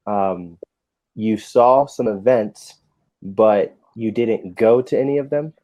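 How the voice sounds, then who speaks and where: A man's voice: on the low side, takes it slowly, dark tone. An American man working as an English tutor, chatting casually and patiently in an encouraging, informal way. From United States. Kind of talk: casual conversational lesson.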